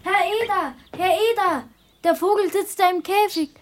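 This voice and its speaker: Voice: very high-pitched, normal speed. A performer playing an earnest, worried young boy in a 1970s German children's radio play. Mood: surprised, earnest. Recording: noisy vintage 1970s radio play recording.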